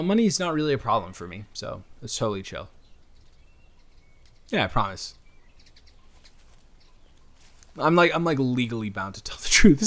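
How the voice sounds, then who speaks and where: A man's voice: medium pitch, a bit bright, natural voice. A man improvising an audio roleplay as a friendly, slightly awkward young stranger, talking casually and earnestly to the listener with stammered restarts. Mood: reassuring, playful.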